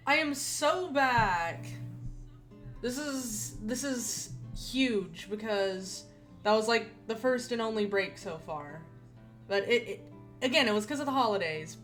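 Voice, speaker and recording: A woman streaming a game, chatting casually and rambling with many restarts, her voice a little nasal and rough. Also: a little high, fairly bright tone. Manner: rambling, chatty, self-correcting with frequent repeats. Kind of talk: casual gaming stream chat.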